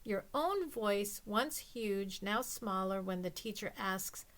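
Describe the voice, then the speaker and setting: A woman reading a children's picture book aloud expressively, with measured, deliberate phrasing. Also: mid-range voice, lively intonation, fairly bright tone.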